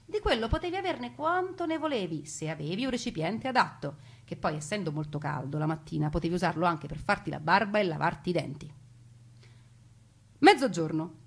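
Husky woman telling a dramatic story. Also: on the low side. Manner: expressive, deliberate dramatic pauses and emphasis. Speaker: stage storyteller. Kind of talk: theatrical dramatic monologue, narration.

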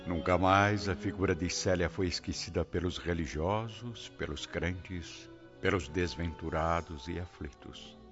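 A man's voice: sits low, a little slow, hardly any pauses. A man with a Brazilian accent narrating a radio drama in a solemn, scripted style. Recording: dull lo-fi audio with music.

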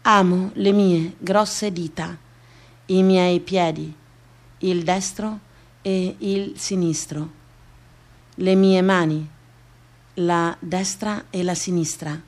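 A woman performing a theatrical radio monologue as a tender, self-loving woman, speaking deliberately with incantatory repetition. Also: lowish voice, steady tone. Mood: tender, self-accepting. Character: tender woman lovingly listing her own body parts.

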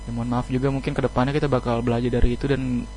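A man talks casually as a guest band member, in a humble, colloquial way. His medium-pitched voice stays fairly level, over faint music and a low electrical hum. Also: flat delivery, very fast, darkish tone, rough recording. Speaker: band member being interviewed. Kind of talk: casual radio interview chat.

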